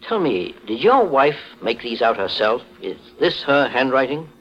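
A shrewd gentleman detective from a 1940s radio mystery. He questions in measured, formal phrases with wide, deliberate swings of pitch, heard through a muffled vintage broadcast. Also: very animated. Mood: assured. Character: calm, shrewd gentleman detective, methodical and authoritative.

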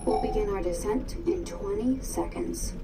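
A woman playing a calm android pilot over music. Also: medium pitch, lightly acted, rough recording. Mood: calm, advisory. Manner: measured, formal announcements. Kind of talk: audio fiction acting.

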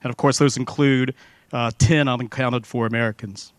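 Reporter guy asking careful press conference questions, mid pitch. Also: steady tone, slight background noise. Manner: formal, measured questioning.

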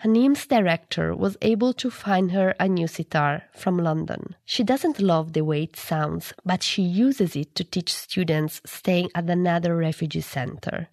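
A woman's voice: lowish voice, a little slow, hardly any pauses, darkish tone, no room sound, studio quality. A woman reading scripted narration as a radio news reporter.

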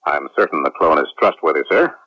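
A man's voice: a bass voice, expressive, fairly quick. A man acting a cautious, worried colonel in a 1970s radio drama, respectful, earnest and wary. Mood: cautious, concerned. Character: cautious, worried male colonel heading clone program. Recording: muffled 1970s radio recording.

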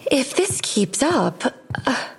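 An actress playing an anxious, prudish mother, earnest and husky, in an audio drama. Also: high voice, big pitch swings, slow, a little hiss or hum, heavily acted. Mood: worried. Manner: earnest, worried.

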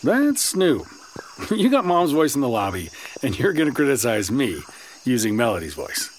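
A man's voice: mid-range voice, wildly swooping pitch, moderate pace, slight background noise, poor audio. A man playing a wry, reluctant estranged son in naturalistic audio drama dialogue. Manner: wry, sardonic.

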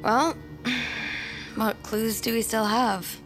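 A woman's voice: fairly high pitch, steady tone, very slow, music in the background. An actress playing a practical, guarded woman helping investigate the occult in an audio drama. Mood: practical, sceptical.

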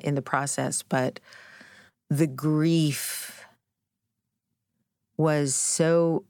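Female interview guest, middle-aged, deep for a woman, introspective and candid, very dry studio-close sound. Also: no echo. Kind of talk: personal, reflective storytelling in an interview.

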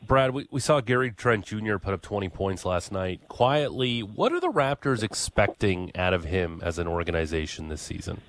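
A man co-hosting sports radio, asking questions and reading a brisk station identification. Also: deep voice, very animated.

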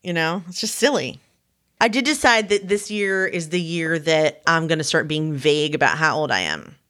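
A woman in her forties talking in an animated, self-deprecating way as a co-host in a casual chat. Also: low voice, normal speed. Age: 40s. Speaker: podcast co-host.

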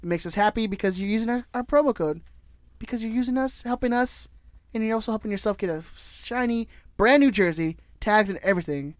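A man's voice: high voice, a little fast, no room sound. A man co-hosting a podcast, rambling and joking through a sponsor read in casual chat. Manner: rambling, self-correcting, joking. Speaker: podcast co-host.

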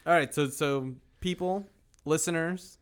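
A man's voice: on the high side, measured pace, crowd noise. A man co-hosting a podcast in casual, joking banter, riffing playfully. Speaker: podcast co-host.

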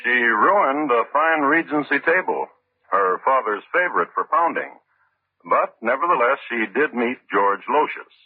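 An actor playing a wry, urbane crime-story narrator, reading scripted old-time radio narration with dry, deadpan irony. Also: male voice, mid-range voice, measured pace, husky. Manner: deadpan, dryly ironic. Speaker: narrator and host. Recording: muffled old radio recording, music.

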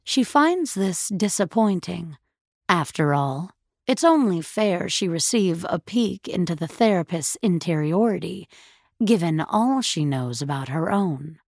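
A woman playing a wry, reflective narrator in an audio fiction drama, speaking in a measured, understated way. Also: fairly low pitch, lively intonation, creaky, darkish tone, no room sound, studio quality, exaggerated voice. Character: wry, reflective female narrator of a sci-fi breakup story.

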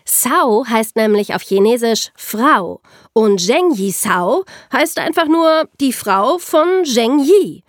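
A woman's voice: a little high, animated, slow, dry recording, clean studio sound. A woman co-hosting a podcast, telling history in an explanatory way for young listeners. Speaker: podcast co-host and narrator.

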